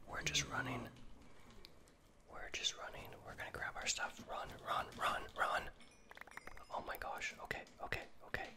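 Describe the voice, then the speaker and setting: A man's voice: normal speed, pauses often. Anxious whispering man playing a game. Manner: soft whisper, anxious self-coaching, repeats words when panicking. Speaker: gaming video creator. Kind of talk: whispered ASMR gameplay commentary.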